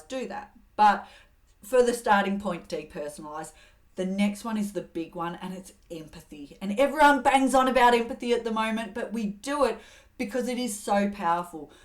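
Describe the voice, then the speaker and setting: A woman's voice: mid-range voice, normal speed. Woman coaching steadily on handling callers. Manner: earnest, steady coaching with acted example phrases. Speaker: host giving communication advice. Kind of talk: advice talk to camera.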